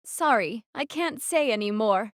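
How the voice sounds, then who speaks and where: A woman's voice: on the high side, animated, a little slow, no room sound, clean studio sound. A woman playing an earnest, guarded young female platoon leader in an audio drama, speaking in a serious, firm manner. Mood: apologetic, firm.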